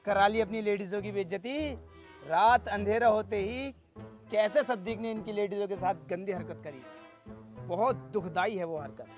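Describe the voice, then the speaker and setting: Man acting a very high-pitched, blustering village politico. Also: moderate pace, lightly acted. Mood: indignant. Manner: loud, blustering, coarse-tongued. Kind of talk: comedy skit acting with slogan shouting. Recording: loud music under the voice.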